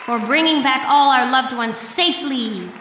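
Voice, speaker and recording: A woman's voice: high-pitched, normal speed. An actress playing a grandiose female small-town politician in a comedy audio drama, declaiming with a public-address echo and repeated final words. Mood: grand, grateful. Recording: echoing PA, distant, noisy crowd.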